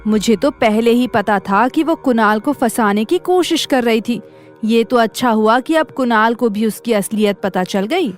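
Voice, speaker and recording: Actress as spiteful gossip, raised voice. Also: female voice, a little high, unhurried, soft background music, close to an everyday voice. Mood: spiteful, smug. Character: spiteful, gossiping woman of a wealthy family. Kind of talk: Hindi audio drama acting.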